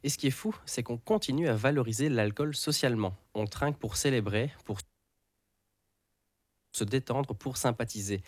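A man's voice: mid-range voice, normal speed, no echo, clean studio sound. A man co-hosting a podcast, delivering scripted explanations in an articulate, didactic manner. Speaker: podcast co-host.

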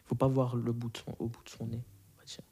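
A young man in his early twenties who grew up in Belgium with Arabic as his first language. His voice is soft-edged and mellow. He talks casually as an interview guest, pausing to find his words. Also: medium pitch, completely flat delivery, slightly rough. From moved to Belgium at five, grew up there. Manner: hesitant, searching for words. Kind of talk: casual, reflective interview answers.

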